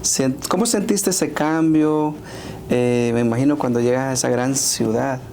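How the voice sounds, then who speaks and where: A man's voice: mid-range voice, normal speed, darkish tone. Salvadoran male podcast host, casual interviewer, lots of fillers, light background noise. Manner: frequent fillers, affirming interjections. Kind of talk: conversational interview.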